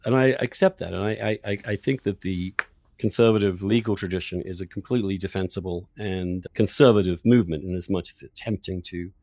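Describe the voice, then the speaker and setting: A man's voice: deep voice, a little fast, a little mellow. A man hosting a political podcast, debating in an emphatic, opinionated, argumentative way. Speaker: podcast host.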